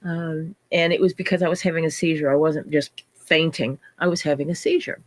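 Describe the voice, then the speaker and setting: A woman's voice: sits low, fairly even intonation, fairly quick, dry recording. Scratchy-voiced woman hosting a livestream. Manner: chatty, greets viewers by name mid-story. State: scratchy voice from illness. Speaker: livestream host. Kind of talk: casual livestream chat.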